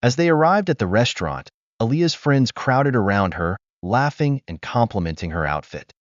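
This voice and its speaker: A man narrating a scripted moral tale in a smooth, expressive storytelling manner. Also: mid-range voice, normal speed, no room sound. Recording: dull dry recording.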